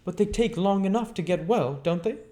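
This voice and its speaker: A man's voice: high voice, a little fast, dark tone, voice pushed up, lightly acted. A man voicing a chatty, deferential middle-aged country landlady who rambles in folksy phrasing for a storybook reading. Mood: earnest, rambling.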